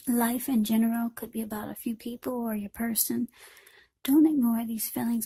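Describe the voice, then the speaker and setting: Husky high-voiced woman reading tarot. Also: mellow, poor audio. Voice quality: husky, breathy. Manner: unscripted, short broken phrases with repeats. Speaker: tarot card reader. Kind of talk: informal tarot reading spoken to the viewer.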